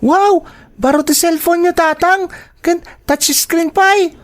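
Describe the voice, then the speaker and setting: A woman's voice: very high voice, measured pace, no echo, studio quality. A woman voicing a curious, affectionate young child in an audio drama. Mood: curious, excited. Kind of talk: Ilocano audio drama acting.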